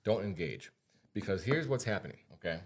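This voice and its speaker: A man's voice: low voice, big pitch swings, fairly quick, slight background noise. An American man hosting a podcast as a financial advisor, talking informally and repeating points for emphasis. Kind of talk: casual podcast talk.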